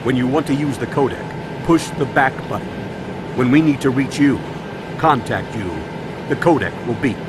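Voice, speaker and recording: A man's voice: mid-range voice, moderate pace, noisy background, close to an everyday voice. An actor playing a male military commander in a video game, giving methodical instructions. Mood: instructive. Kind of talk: video game cutscene voice acting. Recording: music behind the voice.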